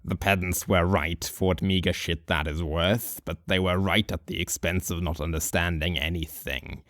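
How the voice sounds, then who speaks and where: A man voicing a rambling, drunk old hobo sage who muses philosophically and slowly, with dry chuckles. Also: sits low, fairly even intonation, fairly bright tone, no room sound, pitched below the performer's own voice. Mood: musing. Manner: slow, musing philosophical monologue with chuckles.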